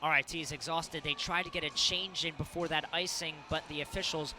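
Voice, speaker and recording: A man's voice: on the high side, fairly even intonation, fairly quick. A man in his early twenties working as a sports broadcaster, delivering commentary and reporting. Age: early 20s. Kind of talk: hockey play-by-play commentary and scripted sports news narration.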